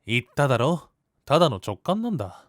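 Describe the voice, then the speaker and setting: Man acting a gentle, teasing big-brotherly schoolboy, music behind. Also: medium pitch, very animated, brisk, close to an everyday voice. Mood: gently teasing. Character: kind, perceptive big-brotherly high school boy, drama-club actor. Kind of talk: Japanese anime-style voice drama acting.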